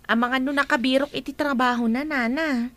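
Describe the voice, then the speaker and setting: A woman's voice: high voice, steady tone, brisk, a bit bright, no room sound. A woman playing a pointed, questioning young woman in a radio drama.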